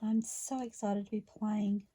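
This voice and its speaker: A woman talks through her crafting at a slow, easy pace. Her voice sits a little high and sounds mellow, with a croaky edge today. She chats casually, narrating each step as she works. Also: little pitch movement. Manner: chatty and unhurried, talking through each step. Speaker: craft vlogger. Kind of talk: relaxed craft narration while working.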